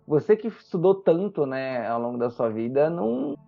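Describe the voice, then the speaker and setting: A game master improvising narration for a tabletop role-playing game, explaining things in halting phrases. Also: mid-range voice, sing-song, gravelly, lo-fi sound.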